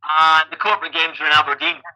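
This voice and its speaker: Bright-voiced Scottish man telling stories. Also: a little high, fast talker, rough recording. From Scotland. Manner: chatty, repeats words when starting sentences. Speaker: guest athlete and coach. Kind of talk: casual interview storytelling.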